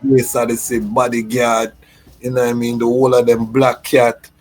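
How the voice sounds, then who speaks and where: Casting: man from Jamaica raised in Toronto, interviewer, relaxed chatty delivery, mid pitch. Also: normal speed. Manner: relaxed, repeats "you know what I mean". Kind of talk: casual interview chat.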